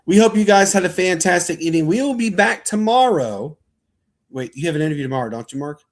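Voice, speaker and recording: A man's voice: sits high, lively intonation, fast talker. Enthusiastic male sports show host. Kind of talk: casual livestream sign-off.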